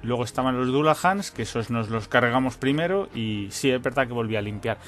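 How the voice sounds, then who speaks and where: A man's voice: mid-range voice, a little fast, fairly bright tone, faint music behind. A man giving informal let's-play commentary, thinking aloud as he plays and swearing now and then. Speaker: gaming channel host.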